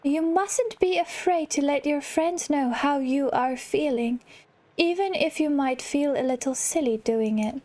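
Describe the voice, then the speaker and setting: A very high-pitched, caring girl mouse from a cartoon game, put on by a woman. She is cheerful and gushing, full of sweet reassurance. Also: unhurried, mellow. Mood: tender, reassuring.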